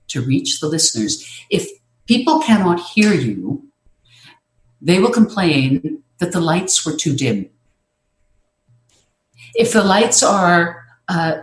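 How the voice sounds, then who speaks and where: A speaking coach lays out advice in a measured, explanatory way, pausing now and then to find a word. The voice sits at a middling pitch. The recording carries a noticeable roomy echo. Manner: measured, explanatory, occasional hesitations. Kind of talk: instructive talk on presenting.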